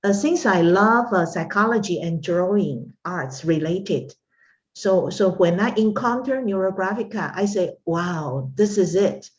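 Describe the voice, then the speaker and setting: A middle-aged woman from Taiwan whose first language is Mandarin, speaking as a guest in an interview with frequent fillers and pauses. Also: fairly low pitch, expressive, lo-fi sound. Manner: hesitant, many pauses and fillers. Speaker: interview guest, art instructor. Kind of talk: casual interview conversation.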